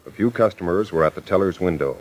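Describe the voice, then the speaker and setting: A man narrating a scripted 1940s radio crime drama as a solemn, dramatic, measured announcer. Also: deep voice, animated, darkish tone, low-quality recording.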